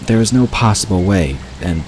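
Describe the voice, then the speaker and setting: A man's voice: on the low side, expressive, normal speed, a little mellow. A man reading scripted fiction as the narrator of an audio drama. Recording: clear ambient noise under voice.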